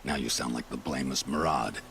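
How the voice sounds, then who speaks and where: A weary, disgraced captain from a video game, with an extremely low, creaky voice. He speaks gravely and formally, like a devout soldier, with prominent music behind him. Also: a little fast. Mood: somber, regretful. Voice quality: deep, gravelly.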